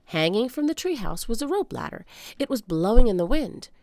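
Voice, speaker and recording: A woman reading a children's book aloud as an animated storyteller, with dramatic emphasis. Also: a little high, fairly quick, no room sound. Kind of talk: expressive read-aloud storytelling.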